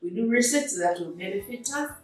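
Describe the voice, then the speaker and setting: A woman from Tanzania, a doctor, giving an unhurried testimonial about a course, with many fillers. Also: medium pitch, lively intonation, a little mellow, very echoey, distant mic, rough recording. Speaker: pediatric doctor, course participant.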